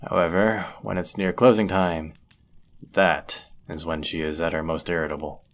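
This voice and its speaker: A man acting a deep-voiced, courteous medieval innkeeper. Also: flat delivery, a little hiss or hum. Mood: wry, fond. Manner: gentle, polite, measured, formal wording. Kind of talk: intimate roleplay audio acting, formal old-fashioned speech.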